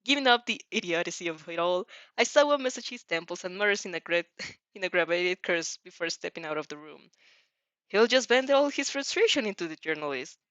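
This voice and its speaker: A woman's voice: fairly low pitch, expressive, a little fast, a bit bright, dry recording, dull audio. A woman narrating fan fiction expressively as an amateur reader, sometimes stumbling over words.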